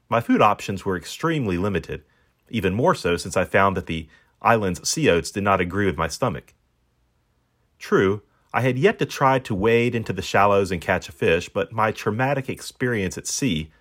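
A man's voice: lowish voice, a bit bright. A man reading as a pampered, proud, hungry stranded dog, narrating in a wordy, wry, self-important manner. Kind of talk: first-person storybook narration in character.

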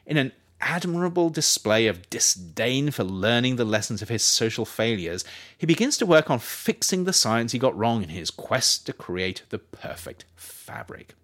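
A man narrates a nonfiction audiobook with a lively, widely moving pitch. His delivery is measured and scripted, leaning expressively on key phrases. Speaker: author reading their own book, narrator.

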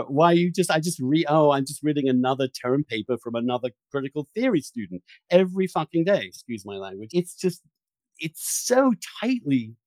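A man's voice: on the high side, no echo. A man hosting a podcast conversation, talking in an emphatic, opinionated way with some swearing. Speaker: podcast host.

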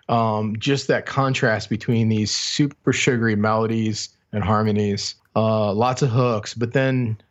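A man with a fairly low voice co-hosts a casual music podcast. He talks reflectively, working out his thoughts as he goes. The recording is a little dull and lo-fi. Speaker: podcast co-host. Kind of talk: casual music review chat.

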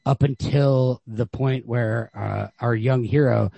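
A man's voice: medium pitch, fairly even intonation, slow, creaky, mellow, a little hiss or hum. A man hosting a podcast, casually discussing a story in a rambling way, retelling it with quoted reactions of his own. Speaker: podcast host. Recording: lo-fi home podcast recording.

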